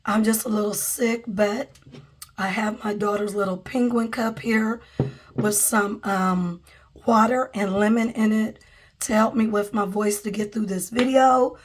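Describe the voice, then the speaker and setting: A woman's voice: medium pitch, fairly even intonation, measured pace, a little hiss or hum. A woman with a cold who is losing her voice, chatting casually to viewers as a budgeting vlogger at a table. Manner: chatty, addresses viewers as guys and y'all. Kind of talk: casual vlog chat. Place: indoors at a table, camera overhead.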